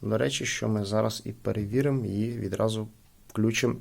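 A man giving a casual, hands-on product review, speaking haltingly as he thinks aloud. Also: lowish voice, steady tone, creaky, a little mellow. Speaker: gadget unboxing reviewer.

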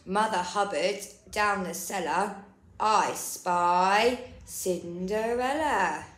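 Woman, nursery storyteller, very slow sing-song picture-book reading, recorded in an echoey room. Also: mid-range voice, a bit bright. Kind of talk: picture-book read-aloud for young children. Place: indoor room. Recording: roomy indoor echo.

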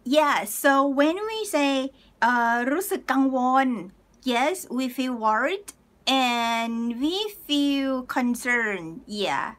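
A woman in her thirties teaching a live online language lesson from a small room, cheerful and laughing often as she reads out viewers' comments and repeats words slowly. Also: sits high, expressive, fairly bright tone. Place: small room indoors, plain wall.

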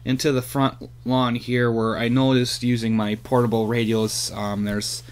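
Slow, rambling man with a handheld camera. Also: mid-range voice, flat delivery. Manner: rambling, hesitant with many fillers. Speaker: hobbyist vlogger. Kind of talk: casual show-and-tell. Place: outdoors in a front yard, then at a computer.

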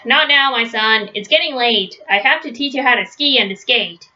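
An overconfident storybook papa bear, played by a woman shows off and instructs with emphasis. The voice is bright and rather high, on a slightly dull home recording. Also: moderate pace. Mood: eager, boastful. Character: boastful, overconfident father bear teaching his son. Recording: dull, lo-fi webcam sound.

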